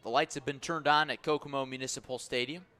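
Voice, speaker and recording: A man calling baseball play-by-play on the radio, measured between pitches and swelling into excited calls on plays. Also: faint music behind. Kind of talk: live radio sports commentary.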